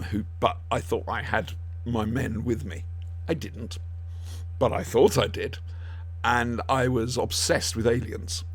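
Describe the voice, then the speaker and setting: A man's voice: medium pitch, breathy edge. A man hosting a podcast in casual, jokey chat, often rambling and losing his thread. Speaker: podcast host.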